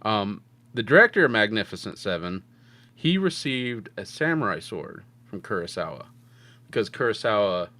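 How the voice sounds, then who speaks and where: A man's voice: lowish voice, very animated, normal speed, sharp tone. A man co-hosting a film podcast in a casual, conversational style. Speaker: podcast co-host.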